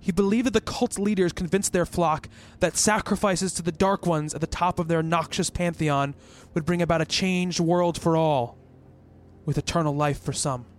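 A man's voice: fairly high pitch, steady tone, normal speed, lightly acted. A man playing a worried, rational researcher in an eerie radio play, speaking as a thoughtful narrator and character over music. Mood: pensive, measured. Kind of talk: radio play dialogue and first-person narration.

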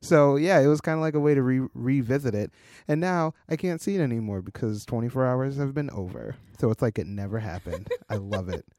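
A hoarse man co-hosting a casual tech podcast. He laughs often and tells loose, rambling stories. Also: mid-range voice, fairly quick, darkish tone, no room sound, studio quality. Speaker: podcast co-host, independent designer and developer. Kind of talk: casual chat.

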